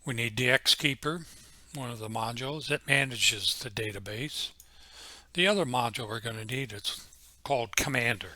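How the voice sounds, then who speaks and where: A man giving an informal, unscripted software walkthrough as a tutorial presenter, explaining things methodically step by step. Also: medium pitch, moderate pace, dry recording. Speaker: tutorial presenter, amateur radio hobbyist.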